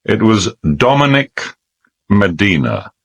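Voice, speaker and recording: British country gentleman, very low husky voice, lively intonation, ruminative, halting, radio drama. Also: muffled recording, full character voice. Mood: casual, unsure. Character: hesitant, musing English gentleman sportsman, a loyal friend.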